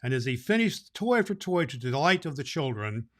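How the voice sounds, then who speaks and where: A man playing Santa Claus, a jolly old man who reads storybook tales to children and hosts the show in an animated manner. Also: brisk, natural voice. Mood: storytelling. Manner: jolly, animated, booming ho-ho laughs. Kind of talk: storybook reading and address to children.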